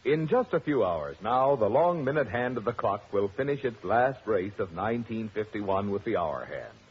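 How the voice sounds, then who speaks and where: A man reading a formal, earnest radio announcement in a measured, stately delivery. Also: lowish voice, very animated, slight background noise. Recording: muffled 1950s radio broadcast.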